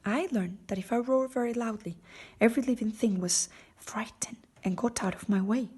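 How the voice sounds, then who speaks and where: Woman voicing a big cowardly storybook lion, husky. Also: on the high side, moderate pace, a little mellow. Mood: sad, self-pitying. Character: big cowardly male lion, sad and ashamed. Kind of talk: storybook character voice.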